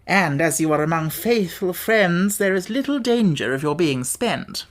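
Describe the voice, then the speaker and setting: A woman's voice: fairly low pitch, expressive, unhurried. A woman voicing a pompous, educated male insect in a storybook reading, speaking in a grand, impressive manner. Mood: lofty.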